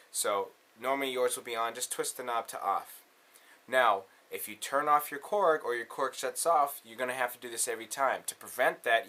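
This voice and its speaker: Bright-voiced man giving a casual tutorial. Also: mid-range voice. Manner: instructional, checks in often with okay. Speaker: tutorial presenter, musician. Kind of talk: casual step-by-step synth tutorial.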